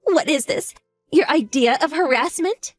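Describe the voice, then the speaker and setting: A woman's voice: sits high, measured pace, soft background music, voice pushed up. A woman voicing a frantic young mother who is the husband's lover, shrieking and near tears. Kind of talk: audio drama acting.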